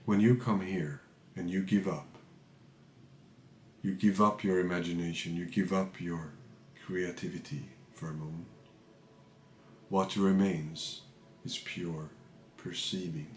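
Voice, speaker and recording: A man with a low voice leads a guided meditation in a calm, measured manner. He speaks very slowly, leaving pauses between short phrases. The sound is noticeably roomy. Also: slight background noise. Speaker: meditation teacher. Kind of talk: guided meditation, unscripted spiritual talk.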